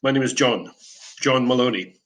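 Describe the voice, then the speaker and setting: Scottish man, 70s, veteran teacher; measured, reflective, lightly humorous. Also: mid-range voice, a bit bright. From Scotland. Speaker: English teacher. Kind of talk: reflective prepared talk.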